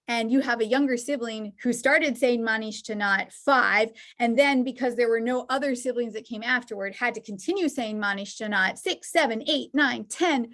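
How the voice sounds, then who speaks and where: A woman presenting an online lecture over a video call, earnest and thoughtful, with quick asides. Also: fairly high pitch, fairly bright tone.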